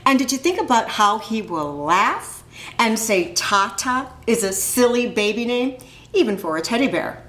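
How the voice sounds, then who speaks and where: A woman's voice: a little high, sing-song, normal speed, a bit bright. Woman reading as a teasing, needling sister. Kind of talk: storybook character reading. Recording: roomy, echoing room sound.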